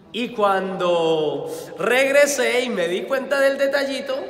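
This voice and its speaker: A man with a Colombian accent, a priest giving a homily at mass. He is lively and keeps asking the congregation questions, then answering them himself, and he tells stories from his own life. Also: high voice. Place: church. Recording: echoey church hall, faint background noise.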